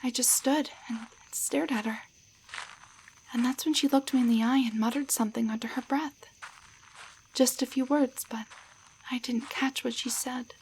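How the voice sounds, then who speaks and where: A woman playing a timid young waitress shrunk into a doll, in a roleplay audio drama, speaking in a halting, stammering way. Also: high-pitched, fairly even intonation, a little mellow, slight background noise, rough recording. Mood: shaken, recounting.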